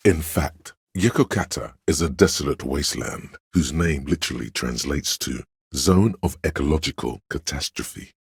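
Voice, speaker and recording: A man voicing a know-it-all inner voice of encyclopedic trivia in a video game, measured, pedantic and dryly wry. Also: rumbling low, slightly rough, no room sound, studio quality, exaggerated voice.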